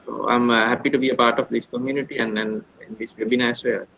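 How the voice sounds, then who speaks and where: A man, from Sri Lanka, introducing his work on a video call, measured with frequent uhs. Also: medium pitch, flat delivery, vocal fry, a bit bright, husky. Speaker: webinar participant, utility planner. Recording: dull lo-fi call audio.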